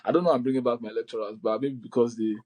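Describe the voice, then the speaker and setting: A man hosts a relaxed podcast conversation over a video call, his voice mellow and darkly toned. He talks loosely, with plenty of ums and restarts. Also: mid-range voice, racing. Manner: loose and hesitant, many fillers and restarts. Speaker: podcast host.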